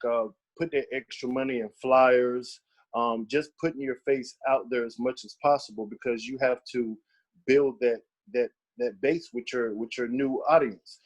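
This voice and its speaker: A man's voice: mid-range voice, fairly even intonation, unhurried. A man leading a casual training session, talking conversationally with lots of fillers. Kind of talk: casual group training talk.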